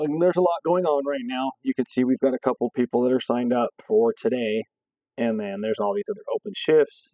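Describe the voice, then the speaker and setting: Hoarse man walking through software steps. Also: mid-range voice, no echo, highs cut off. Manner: steady step-by-step explaining, frequent "okay" check-ins. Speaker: fire district staff trainer. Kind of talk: screen-recorded software walkthrough.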